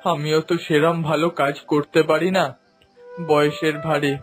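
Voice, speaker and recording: Man acting humble old village washerman, higher-pitched. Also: flat delivery, moderate pace, soft background music, natural voice. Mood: humble, plaintive. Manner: deferential, plaintive. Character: humble, elderly village washerman, pleading father. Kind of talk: Bengali cartoon voice acting.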